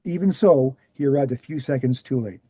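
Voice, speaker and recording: A man reading the part of an earnest author giving a measured, explanatory lecture at a screenplay table read. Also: mid-range voice, warm tone, husky, dry recording, clean studio sound, natural voice.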